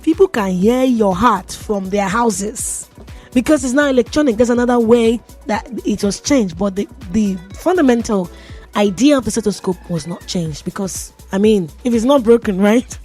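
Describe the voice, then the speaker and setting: A woman's voice: on the high side, expressive, normal speed, darkish tone, soft background music. A woman with a Nigerian accent hosting a radio show, talking in a chatty, playful way and often laughing. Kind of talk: casual radio tech talk. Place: radio studio.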